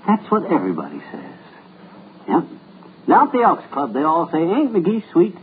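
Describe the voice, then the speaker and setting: Folksy, bragging radio-comedy husband, lively pitch, tinny old broadcast. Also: male voice, moderate pace, a little hiss or hum. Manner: folksy, bragging comic patter. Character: boastful good-natured middle-aged husband.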